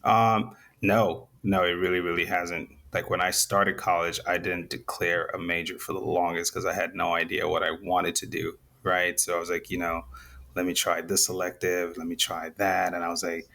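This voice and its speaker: A young man from Zambia talks through a casual interview in a low, even voice that sits in a gravelly fry. He rambles a little, restarting his sentences as he goes. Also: moderate pace. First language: Nyanja. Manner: relaxed, rambling with many restarts. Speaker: interview guest.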